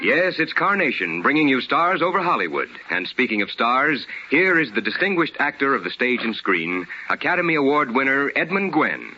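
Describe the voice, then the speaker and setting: Forceful, animated American radio announcer man. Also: mid-range voice, moderate pace, hardly any pauses, slight background noise. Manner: formal, polished, emphatic. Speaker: radio host and sponsor announcer. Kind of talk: 1950s radio announcing, scripted. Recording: dull old radio broadcast with music.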